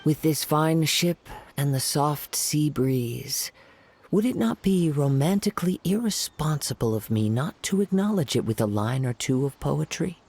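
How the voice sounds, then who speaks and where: Breathy, serene young male poet. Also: on the high side, expressive, heavily acted. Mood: whimsical, calm. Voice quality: soft, breathy. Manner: measured, poetic, formal. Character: serene young wandering poet swordsman, dreamy and courteous. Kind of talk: video game story dialogue.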